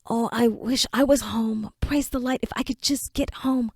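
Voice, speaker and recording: A captured young man in panic, as a woman narrator plays him, his voice pushed high and loud as he begs to be let go. The cries come strained and breathless in a dry, close storybook reading. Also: lively intonation, slow pace, dry recording, exaggerated voice. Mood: pleading.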